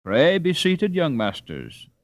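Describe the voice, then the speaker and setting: A man's voice: a little high, huge pitch swings, slow pace, dry recording, pitched above the performer's own voice, heavily acted. Man as a Dutch housewife, storybook mother, fussy, hearty, emphatic, swooping intonation, close dry sound. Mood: hospitable. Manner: emphatic, folksy old-fashioned phrasing.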